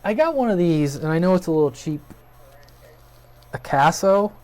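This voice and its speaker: A man's voice: on the high side, animated, moderate pace, darkish tone. A man hosting a hobby video channel, talking informally and off the cuff to viewers, rambling a little as he thinks aloud. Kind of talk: casual, unscripted channel update to viewers. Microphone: USB webcam at a desk.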